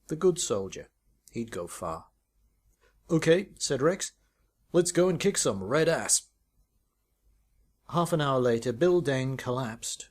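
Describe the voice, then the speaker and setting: A British man reads a short story as narration, in an expressive, wry storytelling manner. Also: mid-range voice, husky. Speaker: author reading own short story.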